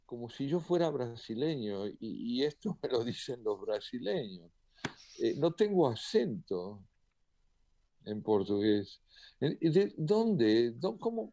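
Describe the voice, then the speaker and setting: A man, a poet and translator, answering interview questions in a thoughtful, measured way with occasional hesitant fillers. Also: mid-range voice, dark tone, no room sound, muffled recording. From Argentina.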